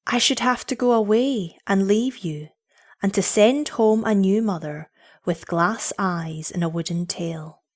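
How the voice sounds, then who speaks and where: A woman voicing a gentle, loving mother who speaks tenderly and with quiet firmness. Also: mid-range voice, unhurried, lightly acted. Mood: gentle sadness. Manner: tender, soothing. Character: gentle loving mother of small children, sadly firm.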